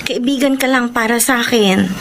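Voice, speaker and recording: A woman's voice: fairly high pitch, moderate pace, natural voice. An actress playing a young woman who apologetically turns down a suitor, in a radio drama. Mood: gently refusing. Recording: noisy radio drama recording.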